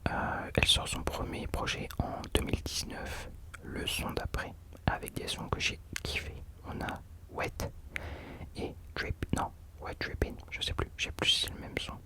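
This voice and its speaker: Whispering man, casual ASMR host. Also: measured pace. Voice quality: breathy, unvoiced whisper. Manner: hesitant, self-correcting, self-deprecating.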